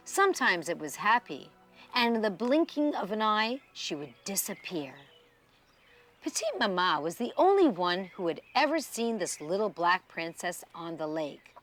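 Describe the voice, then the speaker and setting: Animated woman reading a children's storybook. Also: mid-range voice. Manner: animated storyteller, stretches key words. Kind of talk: expressive scripted storybook narration for children.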